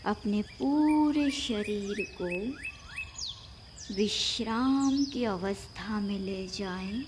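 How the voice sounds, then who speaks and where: A woman leading a guided yoga nidra meditation, giving measured instructions in short phrases with long pauses. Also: mid-range voice, noisy background.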